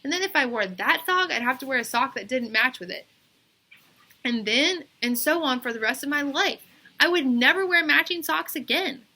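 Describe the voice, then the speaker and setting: A woman voicing a chatty, excitable schoolboy who rambles emphatically in a children's storybook read-aloud. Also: sits high, moderate pace, voice pushed up, heavily acted. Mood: rambling, earnest.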